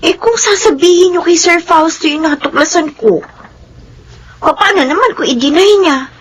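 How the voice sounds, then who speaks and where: A woman's voice: very high voice, lively intonation, moderate pace. A woman acting as a caring, respectful young student, a nanny's daughter, in a Filipino radio drama. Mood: worried. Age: young adult. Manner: polite, deferential.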